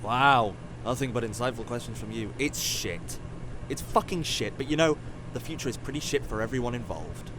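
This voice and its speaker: A man's voice: medium pitch, animated, moderate pace, background noise, natural voice. A man in an audio drama playing a sardonic, weary, regretful scientist from the future who is centuries old. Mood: flippant, sardonic.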